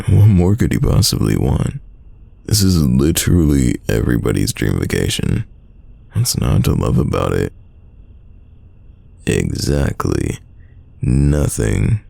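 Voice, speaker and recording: A man playing a secretly smitten, teasing young man in an intimate roleplay audio drama, deep and gravelly, talking casually. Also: mellow, dry recording, heavily acted. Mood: upbeat, reassuring. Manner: casual, conversational, halting, teasing.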